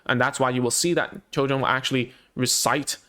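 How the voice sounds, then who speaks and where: A man's voice: mid-range voice, steady tone, brisk, pushed and bright. Casual man explaining child language. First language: Cantonese. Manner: conversational, many fillers and restarts. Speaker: speech therapist. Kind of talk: casual explanatory talk to parents.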